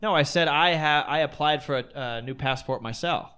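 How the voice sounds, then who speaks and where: A man in his late twenties hosting a casual podcast chat, rambling and excitable, swearing now and then. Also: on the high side, moderate pace, clean tone. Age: late 20s. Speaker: podcast host.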